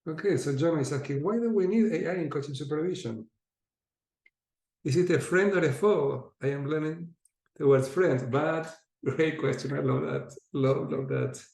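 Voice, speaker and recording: A man with an Argentine accent leads an online group meeting over a video call. He sounds lively and inviting and often restarts his sentences as he goes. His voice carries a roomy echo around it. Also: on the high side, moderate pace, gravelly. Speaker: host and facilitator.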